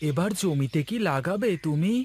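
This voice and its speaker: A man's voice: on the high side, animated, moderate pace, darkish tone, slightly rough. A man voicing a plain-spoken village farmer and husband in a cartoon, in a conversational way. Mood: friendly, practical. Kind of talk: cartoon voice acting.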